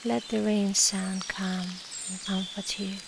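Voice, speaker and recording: A woman guiding a meditation in a soft, soothing, measured way with long pauses and breathy endings. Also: mid-range voice. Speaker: meditation guide. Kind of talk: slow guided meditation. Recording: steady rain noise behind the voice. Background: rain and nature ambience.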